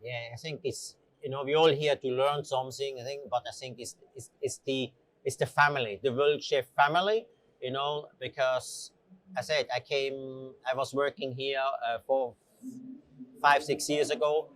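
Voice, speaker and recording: A middle-aged man from Switzerland answers interview questions casually. His voice sits at an average pitch and he talks haltingly, feeling out his phrases with conversational asides. The sound is dry, with little room around it. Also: fairly even intonation, a bit bright, dry recording, rough recording. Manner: halting, conversational, often says "you know". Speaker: chef, interview guest.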